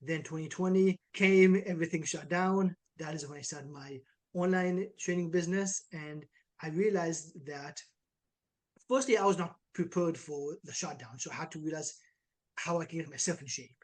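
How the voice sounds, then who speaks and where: A man's voice: on the high side, moderate pace. A man in his twenties from Israel, an online fitness coach talking casually and energetically in an interview. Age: 20s. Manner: energetic, uses many "like" and "right" tags.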